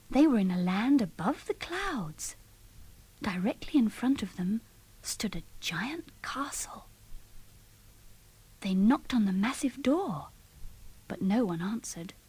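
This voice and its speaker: A woman reads a scripted children's story. Her voice is strongly breathy and husky, often close to a whisper, and she takes her time over each phrase. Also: medium pitch, dark tone, poor audio. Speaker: storybook narrator. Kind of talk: scripted read-along storytelling.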